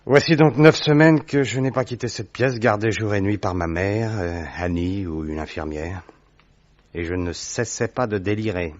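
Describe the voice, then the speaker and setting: Man doing a worn-out sick young guy, real low voice, dry muffled old radio sound. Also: moderate pace, no room sound, close to an everyday voice. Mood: weary. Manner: earnest, tired recounting. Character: weakened convalescent young man, earnest. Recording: dry, dull vintage radio recording.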